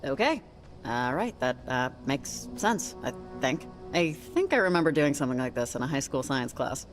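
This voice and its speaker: A woman's voice: very deep voice, big pitch swings, soft background music. A woman acting a confused, hesitant young woman on a new field job in a video game, in a naturalistic style. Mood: sleepy, low-key. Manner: hesitant, halting.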